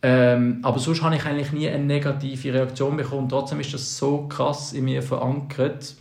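A man with a Swiss German accent who stutters, talking in a halting, reflective way as a podcast co-host. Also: mid-range voice, little pitch movement, vocal fry, darkish tone. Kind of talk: casual podcast chat. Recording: roomy echoey sound.

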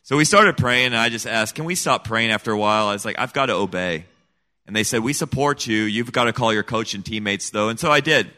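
A man's voice: lowish voice, brisk, pushed and bright. A middle-aged man raised in Senegal, giving an earnest, emphatic personal testimony as an interview guest. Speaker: guest, missionary kid.

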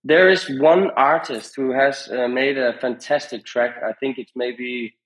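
A man speaking as a podcast guest, giving conversational advice in a halting, rambling way with repeated phrases. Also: medium pitch, steady tone, fairly bright tone, rough recording. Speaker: podcast guest, music industry founder.

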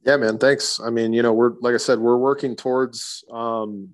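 A man in his thirties answering interview questions in a low-key, hesitant way with many fillers. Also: flat delivery, normal speed, no echo, clean studio sound. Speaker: sportsbook manager.

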